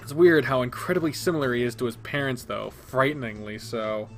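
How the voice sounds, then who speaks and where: A man's voice: mid-range voice, a little fast, soft background music, lightly acted. A man playing a polite, modest young male athlete who talks hesitantly and wryly. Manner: modest, hesitant, dryly wry.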